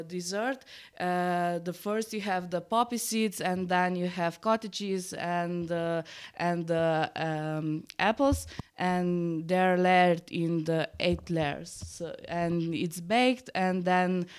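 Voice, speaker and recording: A woman with a Slovenian accent, a teacher giving unscripted interview answers in halting, simple English. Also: fairly low pitch, steady tone, smooth.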